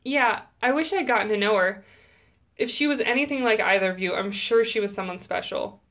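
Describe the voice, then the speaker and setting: A woman's voice: a little high, fairly quick, a bit bright, lightly acted. A woman playing a friendly, earnest teenage student in an audio drama.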